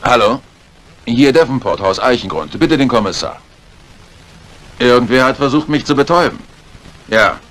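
A man acting a brisk, matter-of-fact police inspector in a 1950s radio mystery, speaking in a businesslike, clipped way. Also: mid-range voice, expressive, fairly bright tone, lightly acted. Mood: brisk, alarmed.

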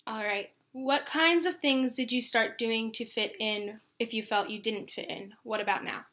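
Bright, higher-pitched woman interviewer. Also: normal speed. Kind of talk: reading prepared interview questions.

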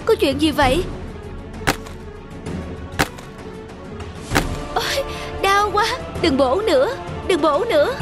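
A woman voicing a discontented male stonecutter in a cartoon fairy tale, exclamatory and plaintive. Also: high-pitched. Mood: pained, pleading. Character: weary, discontented male stonecutter granted wishes, easily delighted and dismayed. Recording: music behind the voice.

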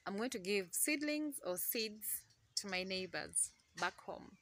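Excitable Ugandan woman gardening vlogger. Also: medium pitch, unhurried, a little hiss or hum. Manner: excitable, chatty, laughs often. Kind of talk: casual chat to camera. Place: outdoor garden. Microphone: phone camera held close.

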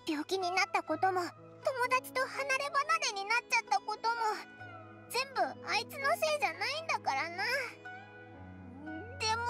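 A woman voicing a tiny childlike fairy companion in an anime game, squeaky, with blurting, boyish rough speech. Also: big pitch swings, moderate pace. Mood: worried, earnest. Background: music.

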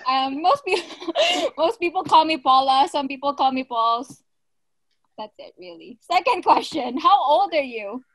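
A woman's voice: high-pitched, big pitch swings, unhurried, fairly bright tone, no echo. A woman in her twenties, from the Philippines and raised in Canada, hosting a casual video-call chat, bubbly and giggly. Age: 20s. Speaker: channel co-host, question asker. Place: home room, indoors. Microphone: video call webcam.

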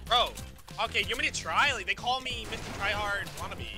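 A man's voice: high voice, brisk, music in the background. A young man chatting casually as an online teammate, with a slangy, encouraging way of talking. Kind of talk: casual game chat.

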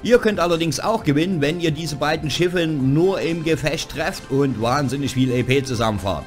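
A man's voice: on the high side, animated, normal speed. A man hosting a gaming news show, reading a scripted voice-over with wry joking asides. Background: background music.

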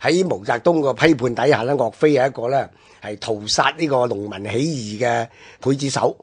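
A man's voice: mid-range voice, brisk, slightly rough. A man with a Hong Kong Cantonese accent explaining things conversationally, as a commentator on a radio history programme.

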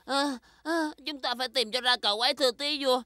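A woman voicing a small, excitable, anxious young smurf in a Vietnamese cartoon dub. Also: high voice, fast, no room sound, studio quality. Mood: anxious, hungry.

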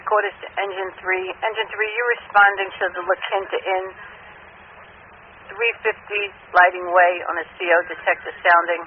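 A man's voice: high-pitched, level tone, moderate pace. A man working as a fire dispatcher, making announcements over a two-way radio. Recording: noisy narrow-band fire radio transmission.

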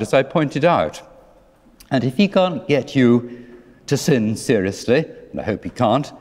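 An older man, a priest, preaching a sermon from a lectern in a large church, with gentle, wry storytelling and dry humor. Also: mid-range voice. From England. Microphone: lectern microphone. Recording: long church reverb.

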